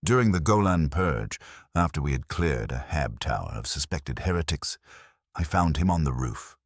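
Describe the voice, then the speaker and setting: A man with a husky, gravelly voice narrating a dramatic scripted story in a solemn, measured way, in short clipped phrases. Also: rumbling low, lively intonation, dry recording, studio quality. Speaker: narrator of lore stories.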